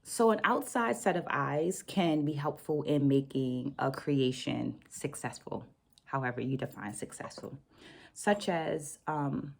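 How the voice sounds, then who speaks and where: A middle-aged woman hosting a reflective vlog, explaining things deliberately and stressing key words. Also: deep voice, creaky, breathy edge. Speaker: book vlog host and dance educator. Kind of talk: reflective vlog talk with read-out quotes.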